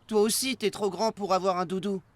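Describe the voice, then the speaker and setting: A woman playing a boastful but soft-hearted big-brother boy in a cartoon, casual and boyish. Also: mid-range voice, steady tone, fairly quick, very noisy, lightly acted. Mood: dismissive, sheepish. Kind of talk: animated cartoon acting.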